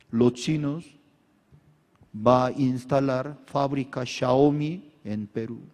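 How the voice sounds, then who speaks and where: Pastor preaching slow in non-native spanish, echoey big hall, gets the crowd to repeat after him. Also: male voice, mid-range voice, a little mellow. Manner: preaching with call-and-response to the congregation. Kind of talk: sermon.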